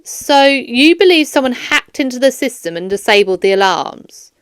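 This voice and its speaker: A woman's voice: a little high, animated, a bit bright, clear, resonant tone, dry recording, clean studio sound. A woman voicing a firm, formal police detective questioning a suspect in a children's audiobook. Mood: probing. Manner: formal, measured, stern. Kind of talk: children's audiobook character dialogue.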